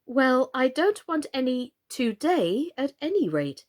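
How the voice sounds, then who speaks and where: A woman's voice: high-pitched, very animated, a little slow, voice pushed up. A woman voicing a polite, sensible, slightly timid young storybook girl in a civil, earnest way. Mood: amused, objecting.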